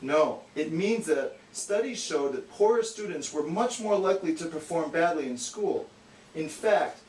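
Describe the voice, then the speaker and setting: Higher-voiced man explaining, echoey room. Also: a little mellow. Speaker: explainer, straight man in puppet show. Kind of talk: scripted educational explanation. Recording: echoey, distant, faint noise.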